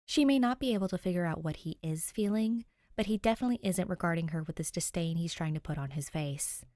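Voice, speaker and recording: A woman's voice: lowish voice, quick pace, a little mellow, dry recording, studio quality. A young adult woman reading fiction aloud and chatting informally as host of her reading channel. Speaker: podfic reader and channel host. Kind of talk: story narration and chatty sign-off.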